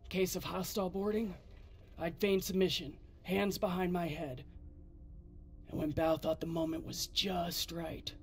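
An actress playing a gruff, guarded, foul-mouthed woman spaceship pilot, speaking bluntly with a husky voice in a video game. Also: on the low side, steady tone, unhurried, a little hiss or hum. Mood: subdued, reminiscing. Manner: blunt, terse, sardonic. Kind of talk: naturalistic video game acting.

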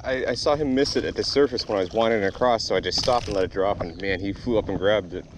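Laid-back guy with a lowish voice talking to himself on a kayak, lots of wind noise on the action cam. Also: fast. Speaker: fishing vlogger. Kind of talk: casual outdoor vlog commentary. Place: outdoors in a kayak on a lake. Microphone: body-worn action camera. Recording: windy outdoor noise on action camera.

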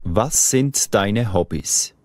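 Man, very slow careful phrasing, lower voice, reverberant. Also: lively intonation. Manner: slow, clearly enunciated phrases. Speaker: German dialogue speaker. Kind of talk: slow scripted language-lesson dialogue.

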